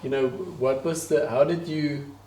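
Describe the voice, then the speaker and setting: A man's voice: on the high side, steady tone, measured pace, warm tone, echoey room, rough recording. A man hosting a home interview, asking questions in a hesitant, searching way. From South Africa. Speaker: host. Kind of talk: casual interview. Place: his own house.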